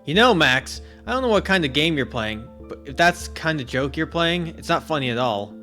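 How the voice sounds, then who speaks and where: Guy doing a tired cold lady cop, flat and not buying it, faint guitar music behind. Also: a little high, a little fast. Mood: cold, skeptical. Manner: flat, skeptical, matter-of-fact. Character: tired, cold adult female police officer.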